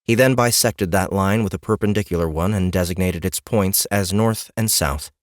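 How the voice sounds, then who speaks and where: A man with a deep, low voice narrates a fantasy audiobook. The sound is dry, with no room around it. He reads prepared prose as a storyteller. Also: moderate pace, dry recording.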